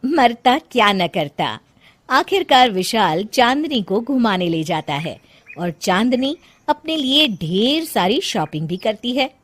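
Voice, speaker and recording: Lady reading out a cartoon story, high lively voice, steady storyteller pace. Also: takes it slowly. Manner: even, storytelling. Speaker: narrator. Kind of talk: scripted storybook narration.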